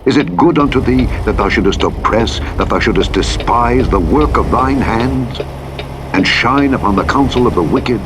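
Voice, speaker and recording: A man narrating scripture from a script in a solemn, measured, formal delivery. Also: medium pitch, expressive. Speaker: audiobook Bible narrator. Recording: engine and vehicle noise over playback. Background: truck simulator engine noise.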